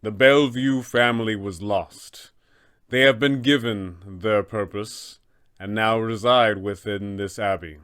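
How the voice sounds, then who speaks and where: A man voicing a serene but sinister abbot in a fantasy tabletop game, speaking in a measured, formal, solemn manner. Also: on the low side, fairly even intonation, a bit bright, smooth. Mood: solemn, regretful. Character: serene, sinister male abbot, secretly an angel. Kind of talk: fantasy tabletop roleplay villain dialogue.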